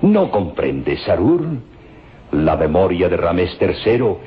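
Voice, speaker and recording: An actor playing an eager, covetous professor in an old radio serial, insistent and weighty. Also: male voice, sits low, very animated, takes it slowly, heavily acted. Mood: fervent persuasion. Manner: dramatic, insistent, weighty delivery. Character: eager, covetous male professor obsessed with ancient tombs. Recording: dull old radio recording, faint music. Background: faint dramatic music.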